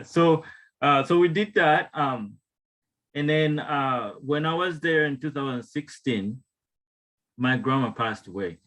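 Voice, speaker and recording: A man in his thirties with a Ugandan accent speaks informally about himself as a guest panelist, often halting and restarting his sentences. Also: medium pitch, fairly bright tone, rough recording. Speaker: wellness tonic maker, guest panelist. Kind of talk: informal personal storytelling on a panel.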